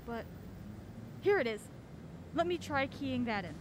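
Woman playing a high-voiced, wry mystery sleuth. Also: animated, a little slow, noisy background. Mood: curious, urgent. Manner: dry, sarcastic, questioning. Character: wry, wary young woman on a mystery hunt. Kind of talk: naturalistic audio drama acting.

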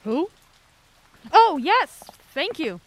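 A woman's voice: very high-pitched, sing-song, extremely slow, exaggerated voice. An actress playing a wry, guarded woman on an anxious road trip, dry and sardonic, in an audio drama.

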